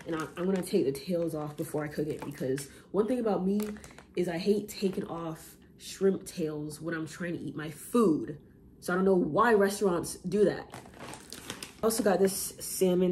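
A young woman shows her groceries to a camera in her home kitchen, rambling in slangy, offhand chatter. Her voice sits low and mellow, not bright. A faint hum of room noise runs underneath. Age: young adult. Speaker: vlogger. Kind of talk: casual grocery haul vlog.

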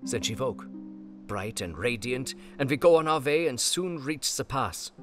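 A man reading a journal in an audio drama, speaking earnest, halting, foreign-phrased English. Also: medium pitch, expressive. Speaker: narrator reading an elderly professor's journal. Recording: music bed under voice.

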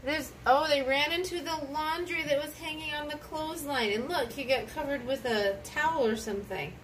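High-voiced woman in casual read-aloud, distant mic. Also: normal speed, a bit bright. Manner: exclaiming and prompting with questions. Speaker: adult reading a picture book with a child. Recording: distant mic with clear background noise.

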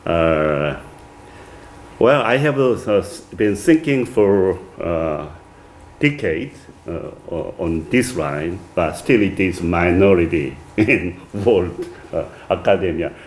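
A middle-aged man with a strong Korean accent giving an academic lecture at a podium, reading from a manuscript with many halting "uh" fillers. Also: low-pitched, lively intonation, slight background noise. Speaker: visiting lecturer. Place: wood-paneled reception room, indoors.

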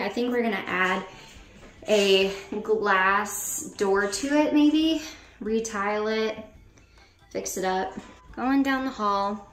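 Casual, chatty young American woman. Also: medium pitch. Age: 20s. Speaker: vlogger giving a house tour. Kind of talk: casual vlog narration, walking tour. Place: empty rooms of a house, indoors. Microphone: handheld camera or phone at arm's length.

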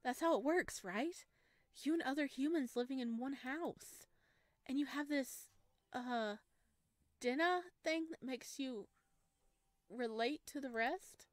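A woman voicing a gentle, sheepish male forest creature in a visual novel, speaking softly and with naive curiosity. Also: high-pitched, takes it slowly, pauses often, dry recording, clean studio sound. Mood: curious, unsure. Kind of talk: visual novel read-aloud.

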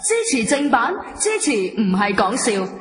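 A woman's voice: a little high, big pitch swings, moderate pace. A woman with a Cantonese accent reading a scripted radio promo announcement in an echoing recording. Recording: echoey long reverb.

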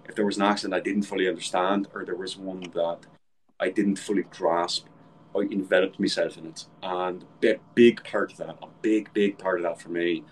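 Deep-voiced man on a video call. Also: steady tone, moderate pace, a little hiss or hum, rough recording. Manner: chatty, swears casually. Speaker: voice actor guest. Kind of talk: casual chat. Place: home room with shelves, indoors.

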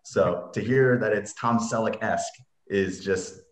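A young man with a low voice chats casually as a guest on a video call. The sound is clearly echoey, from a bare room. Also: a little slow, slight background noise. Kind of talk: casual chat. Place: small bare room indoors. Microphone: webcam video call.

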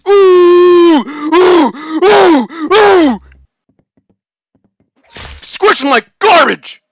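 A man voicing a hyped-up, taunting shooter-game announcer, bombastic and over-excited, in shouted voice lines. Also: very high voice, extremely slow, gravelly, dry recording, pitched above the performer's own voice, full character voice. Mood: gleeful taunting.

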